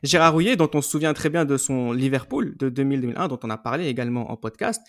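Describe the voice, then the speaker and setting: A man with a medium-pitched voice hosts a discussion podcast. He speaks conversationally, setting up subjects and passing them along to the other panelists. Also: animated, fast talker. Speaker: podcast host. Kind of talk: conversational moderating, handing over to panelists.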